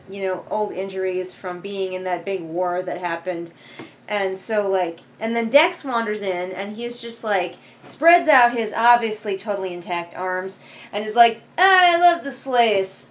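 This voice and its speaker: A young woman giving casual, rambling, sarcastic commentary and swearing offhand, recorded indoors a few feet from the camera. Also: mid-range voice. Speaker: book reviewer reading along. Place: living room couch, indoors. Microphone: camera a few feet away. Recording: noisy living room camera audio.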